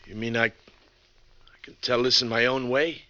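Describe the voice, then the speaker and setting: An actor playing a wounded, sardonic American bus driver recounting a grim story in slangy 1940s radio drama style. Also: male voice, medium pitch, level tone, moderate pace, sharp tone, close to an everyday voice. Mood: weary, sardonic. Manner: folksy, hard-boiled, slangy. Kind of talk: 1940s radio drama acting, slangy first-person narration. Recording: dull vintage radio recording, music behind.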